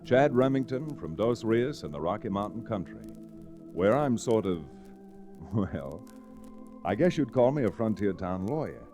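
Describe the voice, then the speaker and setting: A man's voice: on the low side, very animated, music in the background. An actor playing an easygoing American frontier lawyer who narrates his tale in a genial, folksy, old-time radio manner. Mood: genial, wry. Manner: folksy, unhurried storytelling. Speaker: lead character and narrator. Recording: restored vintage radio recording.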